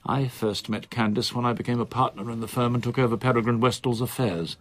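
An actor playing a reserved solicitor in his sixties in a radio drama, with a measured, formal, precise manner. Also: medium pitch, fairly even intonation, lightly acted. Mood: quietly confiding. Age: 60s. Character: reserved older male solicitor, in his sixties, quietly confiding.

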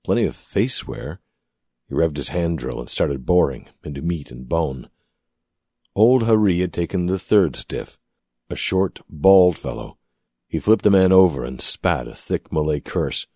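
A man's voice: rumbling low, lively intonation, a little slow, mellow, slightly rough, dry recording, studio quality. A man narrating a piece of fiction from a script as an audiobook-style reader. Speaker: story narrator.

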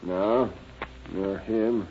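A man's voice: fairly low pitch, fairly even intonation, very slow. Blunt, indignant male holdup victim, vintage radio crime drama, dull, faintly noisy. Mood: indignant, certain. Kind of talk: 1930s radio police drama acting. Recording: dull, lightly noisy old radio recording.

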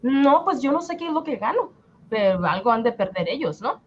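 A woman's voice: on the high side, sing-song, fairly quick, a little mellow, dull audio, slight background noise. A woman playing a stubborn working-class housewife, emphatic and colloquial in a radio drama. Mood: indignant.

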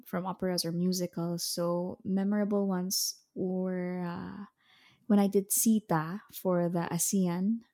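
A young woman, an opera soprano, talking casually, pausing often with fillers and restarts. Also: lowish voice, flat delivery, mellow. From Philippines. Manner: hesitant, many fillers and restarts, occasional laughs. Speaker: opera singer, soprano guest. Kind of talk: casual interview chat.

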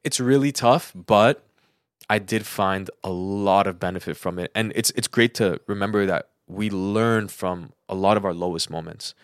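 A man's voice: sits low, moderate pace, no room sound, clean studio sound. A man co-hosting a podcast in casual, rambling conversation, repeating words for emphasis. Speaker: podcast co-host. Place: living in Los Angeles.

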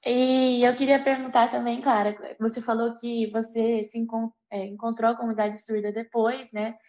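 A woman's voice: on the high side, flat delivery, moderate pace. A young woman with a Brazilian Portuguese accent interviewing, asking long rambling questions filled with tag words. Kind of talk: conversational interview. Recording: dull lo-fi audio.

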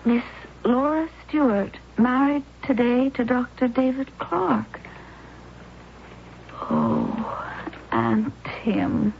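An actress playing a remorseful woman with a guilty secret, in confessional, halting phrases in an old-time radio drama. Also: fairly high pitch, creaky, exaggerated voice. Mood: wistful. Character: remorseful woman in self-imposed exile, secretly alive. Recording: muffled old radio recording, faint hiss.

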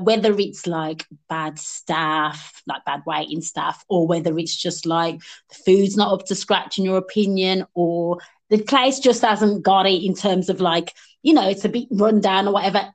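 A woman hosting a podcast. She asks questions and reacts with enthusiasm to her guest's stories. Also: mid-range voice. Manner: warm, encouraging listener with quick reactions. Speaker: podcast host. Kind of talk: casual interview chat.

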